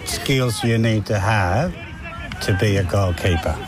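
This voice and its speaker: A man's voice: lowish voice, unhurried, a crowd in the background. A man hosting an interview, chatty and jokey, often cutting in. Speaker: podcast host. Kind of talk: casual interview. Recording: echoey with faint noise.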